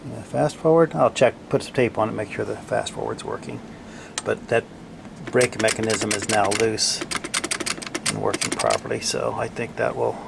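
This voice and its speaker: A man giving casual hands-on repair commentary, haltingly thinking aloud as he works. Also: fairly low pitch, creaky. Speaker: repairer demonstrating his work. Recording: faint background noise.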